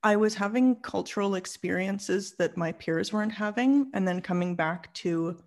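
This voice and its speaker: Creaky-voiced Canadian woman, chatty. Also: medium pitch, normal speed. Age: 40s. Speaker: podcast guest. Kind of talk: casual personal storytelling.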